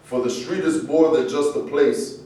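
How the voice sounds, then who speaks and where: A man's voice: on the high side, steady tone, measured pace, warm tone. A man acting in a staged reading, reciting rhyming lines. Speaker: actor in a staged play reading. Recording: echoey room, distant mic, faint noise.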